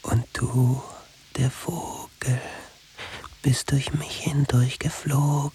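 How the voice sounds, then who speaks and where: An actor playing a dreamy, yearning inner voice in a radio drama, breathy and trance-like like a lover in reverie. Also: male voice, mid-range voice, flat delivery, slow pace, dark tone, a little hiss or hum, close to an everyday voice. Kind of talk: whispered radio drama monologue.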